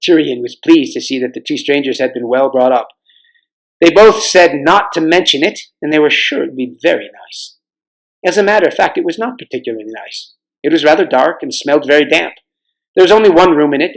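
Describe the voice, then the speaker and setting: A man narrates a children's novel as a read-aloud. His voice sits a little higher than usual for a man, and he delivers it as storybook narration. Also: poor audio. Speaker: volunteer storybook reader.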